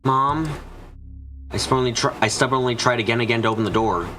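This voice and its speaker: A man's voice: mid-range voice, quick pace, sharp tone, soft background music, natural voice. A man voicing an anxious, frightened narrator trapped and desperate, in a first-person visual novel fan dub. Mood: uneasy, brooding. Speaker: voice actor narrating.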